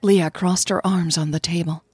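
A woman with a husky voice reading scripted story narration for an audio drama. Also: on the low side, fairly even intonation, moderate pace, a little mellow, dry recording.

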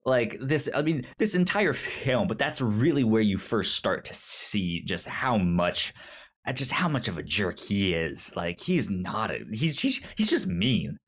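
A man hosting a podcast in a casual film review chat, with a halting delivery that often repeats and trips over words. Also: lowish voice, big pitch swings, a bit bright. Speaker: podcast host.

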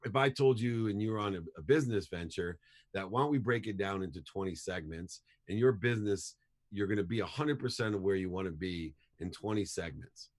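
A man's voice: fairly low pitch, brisk. Emphatic motivational man in his fifties. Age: 50s. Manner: emphatic, punchy, repeats key phrases for effect. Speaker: guest, entrepreneur and motivational speaker. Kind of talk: energetic motivational storytelling.

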